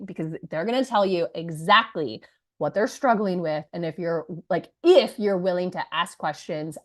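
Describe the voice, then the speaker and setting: A woman in her thirties, hoarse from a cold, telling an audience the story of her launch in a chatty, energetic way with many asides. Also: fairly low pitch. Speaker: business coach presenting her launch story. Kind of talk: live presentation to an audience.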